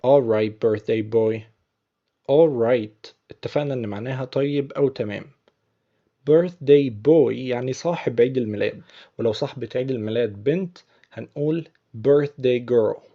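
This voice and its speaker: A man with an Egyptian accent teaching English, patiently repeating film lines slowly before explaining them. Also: mid-range voice, phone-quality sound. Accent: Egyptian Arabic.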